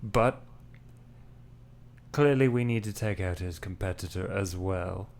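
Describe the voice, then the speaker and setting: Measured man role-playing a priest. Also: deep voice, darkish tone, a little hiss or hum. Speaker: role-playing game player. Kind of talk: in-character tabletop role-play as a priest.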